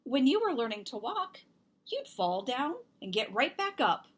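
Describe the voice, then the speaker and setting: A woman reading the part of a reassuring father in a children's storybook, gently emphatic. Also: sits high, big pitch swings, a little slow, husky, voice pushed up, heavily acted. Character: reassuring father of a young girl.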